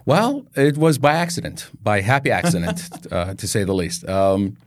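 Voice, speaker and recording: A man from Iraq telling his story as an interview guest, in halting phrases with frequent pauses. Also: on the low side, lively intonation, no echo, clean studio sound.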